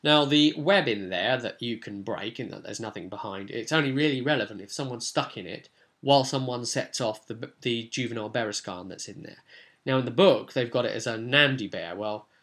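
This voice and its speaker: A man with a mid-pitched voice talks informally as a game master recapping a tabletop session. His delivery is conversational and rambling, with sudden stresses on certain words. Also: brisk, fairly bright tone. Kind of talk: informal vlog recap and advice on a tabletop role-playing session.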